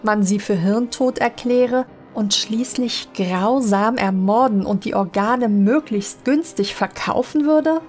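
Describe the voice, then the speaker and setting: High-voiced woman narrating horror stories. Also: takes it slowly, few pauses, a little mellow. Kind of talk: scripted creepypasta audiobook narration. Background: music under the voice.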